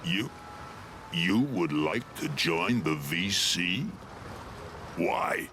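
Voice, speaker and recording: An actor playing a suspicious, moody alien club leader in a video game, speaking in a guarded, grave manner. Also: male voice, low voice, expressive, drawn-out words, background noise, heavily acted. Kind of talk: video game cutscene acting.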